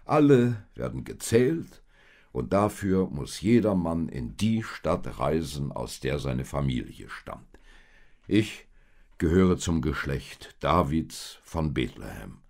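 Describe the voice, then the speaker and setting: Josef, a steady husband read by a man, speaks firmly and earnestly in a deep, gravelly voice close to the reader's own. The tone is husky and unhurried, as in a storybook read aloud. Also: full character voice. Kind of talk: storybook reading.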